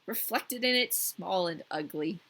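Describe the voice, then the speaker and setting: A woman's voice: fairly low pitch, expressive, unhurried, crowd noise. A woman reading a fairy tale as a storyteller, informal and playful with her listener. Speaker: storyteller, podcast host. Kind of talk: scripted fairy tale narration with casual asides.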